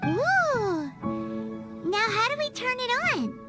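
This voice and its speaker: A woman voicing a tiny, excitable fairy companion in a video game, squeaky and chirpy like a small child. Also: slow pace, over loud music, exaggerated voice. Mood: curious, playful. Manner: chirpy, exclamatory, speaks in third person.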